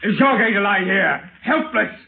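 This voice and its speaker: Menacing avenger, tinny old radio. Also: male voice, sits high, lively intonation, vocal fry, a little hiss or hum. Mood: vengeful, raving. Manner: deliberate, theatrical, well-spoken. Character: vengeful husband, educated lawyer, coolly menacing and obsessed. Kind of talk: old-time radio thriller acting.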